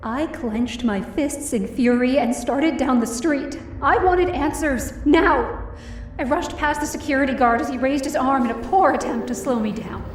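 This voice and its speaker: A woman's voice: high-pitched, moderate pace, echoey room, far from the mic, slight background noise, lightly acted. A woman playing an emotional young woman who narrates her own story in an audio drama. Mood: angry. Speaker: first-person narrator and lead.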